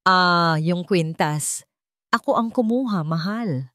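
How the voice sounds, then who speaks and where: A woman with a Filipino accent voicing a smooth, casual, wealthy husband in a story. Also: on the low side, slow, fairly bright tone, no echo, studio quality.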